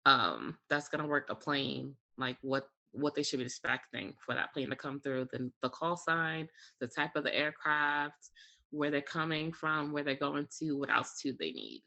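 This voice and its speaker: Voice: medium pitch, normal speed, creaky, bright, forceful tone. An air traffic controller talking casually as an interview guest, explaining things step by step with examples. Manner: explains step by step with examples, frequent "you know" and "like".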